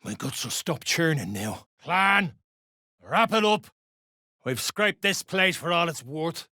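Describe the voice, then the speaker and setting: An actor playing a rough, irritable duergar dwarf fighter in a video game, husky and coarse, speaking in blunt orders. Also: medium pitch, expressive, takes it slowly, pushed and bright, no room sound, clean studio sound. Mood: commanding. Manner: coarse, clipped orders in dialect. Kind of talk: video game character voice acting.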